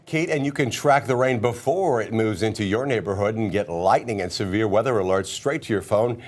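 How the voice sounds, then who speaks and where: A man's voice: fairly low pitch, a little fast. A man with an American accent reading scripted news as a television anchor.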